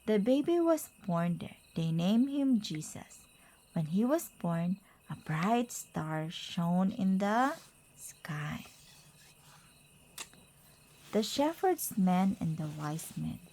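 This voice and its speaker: A woman reading a children's picture book aloud to a child, in simple, deliberate phrases with pauses. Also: mid-range voice, very animated, dark tone, slight background noise.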